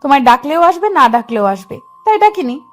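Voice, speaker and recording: A woman's voice: sits high, sing-song, fairly quick, faint music behind. A woman playing a bossy, possessive young wife, scolding yet affectionate, in a dramatized romantic audio story. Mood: teasing, affectionate. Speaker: female lead of an audio love story.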